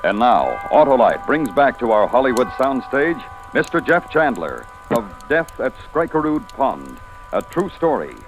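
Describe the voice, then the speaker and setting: A man announcing a 1950s radio show with portentous, measured phrasing. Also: mid-range voice, very animated, poor audio. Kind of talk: dramatic 1950s radio introduction. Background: music.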